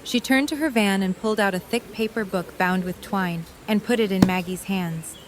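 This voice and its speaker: A woman reading the narration of an audio drama in an even storytelling manner. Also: mid-range voice, moderate pace, a little hiss or hum. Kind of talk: scripted narration.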